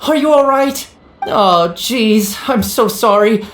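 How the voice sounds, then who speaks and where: A woman's voice: fairly high pitch, animated, slow pace, a little hiss or hum. A woman voicing an earnest, easily flustered young newspaper seller in a video game, casual and apologetic. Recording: roomy echo.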